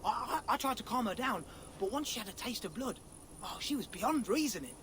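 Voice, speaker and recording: An actor playing an excitable, soft-hearted Viking villager in a video game, speaking earnestly and stammering as he gets emotional. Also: very high-pitched, fairly quick, background noise. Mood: shaken.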